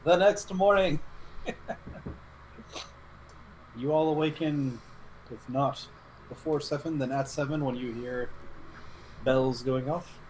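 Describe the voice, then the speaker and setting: A man's voice: mid-range voice, takes it slowly, pauses often, a little mellow, slight background noise, poor audio. A man running a tabletop game as game master, talking casually and easygoingly, sometimes stumbling over his words.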